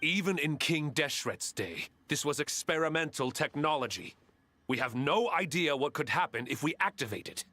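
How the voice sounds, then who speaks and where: A man's voice: on the high side, animated, moderate pace, bright, forceful tone, dry recording. A man playing a cautious scholar in a fantasy video game. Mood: wary.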